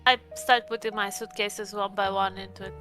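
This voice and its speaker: A woman's voice: medium pitch, fairly even intonation, moderate pace, fairly bright tone, faint music behind. A woman playing a tabletop roleplaying game, chatting casually in character.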